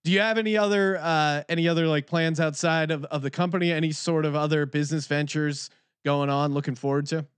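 A man's voice: a little high, steady tone, brisk, clear, resonant tone, dry recording. A man hosting a casual podcast chat, upbeat and laughing easily. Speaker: podcast host.

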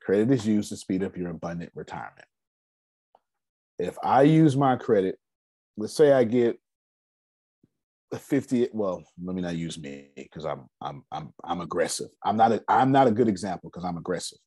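A man in his forties giving a casual, energetic finance seminar talk, asking rhetorical questions and answering them himself. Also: sits low, moderate pace, husky, no room sound. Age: 40s. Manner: loose, energetic, repeats key points. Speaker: finance speaker.

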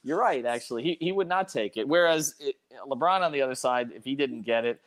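A man's voice: mid-range voice, a little fast. A man hosting a casual sports talk show, giving his opinions emphatically and reading sponsor plugs.

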